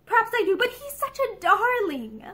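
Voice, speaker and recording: Woman playing an eager, high-voiced young wife. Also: normal speed, exaggerated voice. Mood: doting. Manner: impulsive, pleading, excitable.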